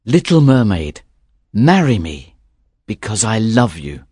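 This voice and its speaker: A woman's voice: a bass voice, very animated, slow, warm tone, breathy edge, no room sound, clean studio sound, pitched below the performer's own voice, full character voice. A woman voicing a young male fisherman in a storybook reading, speaking in an earnest, plain way. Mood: yearning. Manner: earnest, plainly spoken lines.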